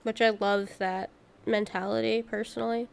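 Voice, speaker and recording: A woman's voice: medium pitch, little pitch movement, moderate pace, gravelly, darkish tone, smooth. A woman streaming a game, playful and casual, reading narration aloud and dropping in her own asides. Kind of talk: playthrough commentary, reading narration text aloud.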